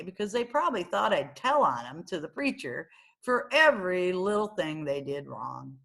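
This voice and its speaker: Animated woman storyteller with a husky edge. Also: on the low side. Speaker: read-aloud storyteller. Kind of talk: expressive children's audiobook-style narration.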